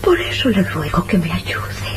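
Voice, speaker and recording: A woman playing a frail, dying widowed mother, pleading slowly and tearfully in old-style radio serial melodrama. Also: lowish voice, very animated, faint music behind. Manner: slow, anguished, tearful pleading.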